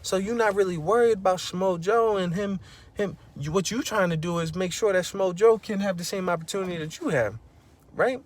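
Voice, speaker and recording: Slangy man giving a fired-up pep talk. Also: a little fast. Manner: slangy, preachy, repeats "bro" and "you know what I'm saying"; gets loud and high when fired up. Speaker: vlogger. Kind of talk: casual motivational monologue.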